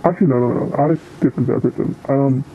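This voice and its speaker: A teenage guest answering interview questions hesitantly, with many ums and likes. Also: male voice, medium pitch, rapid-fire. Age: teens. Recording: tinny phone-like line with faint noise.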